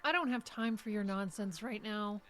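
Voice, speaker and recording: An actress playing a wary, uneasy young care worker in a live radio horror drama, with a nervy manner. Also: female voice, medium pitch, moderate pace, fairly bright tone, natural voice. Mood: impatient.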